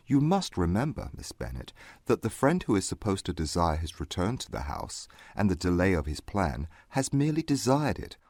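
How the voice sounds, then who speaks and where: Man playing a proud, low-voiced reserved gentleman. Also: dry recording. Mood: argumentative. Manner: formal, precise, measured argument. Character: proud, reserved young gentleman of exacting, argumentative temper. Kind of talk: dramatised classic novel audiobook.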